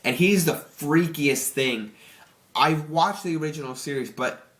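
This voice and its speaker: A young man with a stuffy, slightly nasal voice from allergies, rambling excitedly through a casual review with many restarts. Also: on the high side, unhurried. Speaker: YouTube reviewer.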